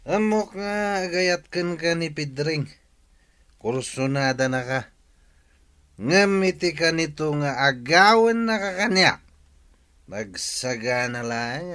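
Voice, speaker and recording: An actor in a radio drama playing a drunken, possessive young husband with slurred, drawling speech. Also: male voice, fairly high pitch, lively intonation, slow pace, bright, forceful tone, heavily acted. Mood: drunk, jealous. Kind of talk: Ilocano radio drama acting.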